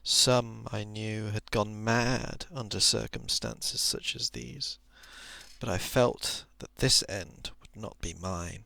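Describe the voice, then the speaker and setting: Hushed, crackly-voiced man reading slowly. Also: lowish voice, steady tone, creaky, no echo. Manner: soft-spoken, often dropping into whispers. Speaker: narrator. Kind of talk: ASMR story reading, hushed and literary. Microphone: close microphone.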